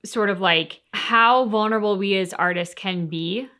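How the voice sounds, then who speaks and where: A woman's voice: mid-range voice, normal speed, bright, forceful tone. A woman co-hosting a game reaction show, talking casually and reflectively in an earnest, explanatory way.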